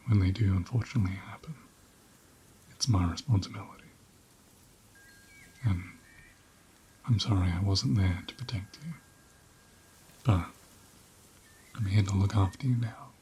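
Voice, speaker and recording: A gentle head ram, acted by a man, soothes in a soft ASMR roleplay. The voice is dark and breathy, often slipping into a whisper. Delivery is very slow and reassuring, close to the mic, with some background noise. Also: low voice. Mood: protective, calm. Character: gentle protective head ram tending an injured lamb.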